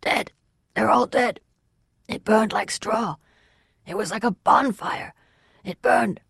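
A storybook narrator voicing an exhausted, shaken man of about thirty, halting and repeating words in a hoarse, breathless voice. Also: mid-range voice, a bit bright, dry recording, clean studio sound, voice pushed up, heavily acted. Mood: dazed horror. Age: 30s.

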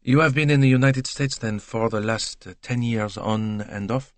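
A man's voice: mid-range voice, moderate pace, dry recording, natural voice. Man acting a courteous, probing detective, dry and formal. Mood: questioning.

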